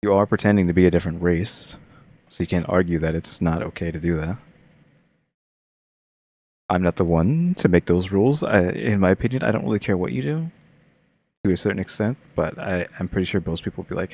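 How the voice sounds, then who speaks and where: A man's voice: low voice, animated, a little fast, darkish tone, no echo. A man hosting a reaction video, talking casually and rambling with many filler words. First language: English. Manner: rambling, heavy use of filler "like".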